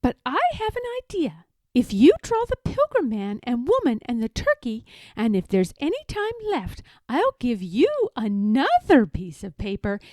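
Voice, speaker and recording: A woman's voice: warm tone, dry recording. A woman reading a storybook, voicing a kindly woman art teacher in a sing-song, coaxing manner. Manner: lilting, high, coaxing. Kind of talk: children's storybook read-aloud.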